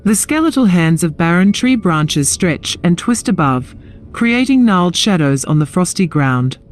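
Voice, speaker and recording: A woman's voice: on the low side, animated, a little slow, a little hiss or hum. A woman narrating a scripted fantasy audio drama in a descriptive storytelling style. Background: music.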